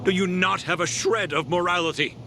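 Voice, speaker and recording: A man's voice: high voice, brisk, background noise. An actor playing an earnest, conscientious male laboratory researcher in a video game, with an emotive manner. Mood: distressed, indignant. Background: game music.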